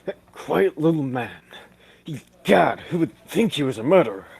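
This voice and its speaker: A man playing a pompous, excitable gentleman sidekick in 1940s radio detective style, with a husky voice and old-fashioned manners of address. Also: fairly high pitch, moderate pace, full character voice. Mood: excited, theatrical.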